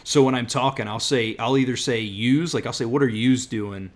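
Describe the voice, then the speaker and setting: A man's voice: mid-range voice, normal speed. A man from the American South hosting a casual language podcast, rambling with frequent restarts and laughs. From Southern United States. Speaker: podcast host. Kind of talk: casual chat.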